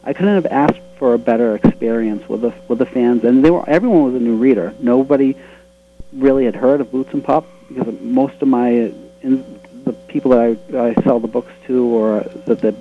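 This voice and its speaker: A man's voice: medium pitch, normal speed, slight background noise. A man with a Boston accent, a webcomic creator being interviewed, talking earnestly with many restarts. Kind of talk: casual interview talk. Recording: tinny phone-line sound.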